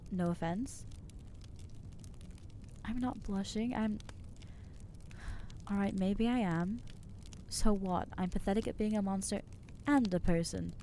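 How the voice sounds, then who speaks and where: A woman's voice: mid-range voice, takes it slowly, pauses often, mellow, a little hiss or hum. A woman voicing an anxious, self-loathing young female vampire in an ASMR audio roleplay, talking hesitantly and putting herself down. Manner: hesitant, self-deprecating, trailing off.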